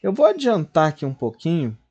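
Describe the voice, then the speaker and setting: A man's voice: fairly high pitch, huge pitch swings, a little fast, a little mellow, slightly rough, muffled recording. A man, teaching a logic lesson at a board. He explains step by step and keeps checking in with his students.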